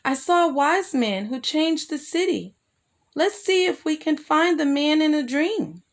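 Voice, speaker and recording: A woman storyteller voicing a kind, gentle and unafraid woman volunteer in children's storybook dialogue. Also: high-pitched, expressive, unhurried, pitched above the performer's own voice. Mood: excited, hopeful. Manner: gentle, caring, sincere.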